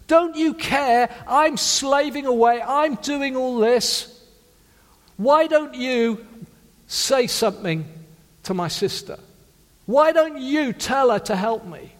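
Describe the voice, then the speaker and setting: Indignant overworked woman, put on by a Welsh preacher, high and pleading, long hall reverb. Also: slow pace. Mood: raging. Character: frustrated overworked woman raging at Jesus.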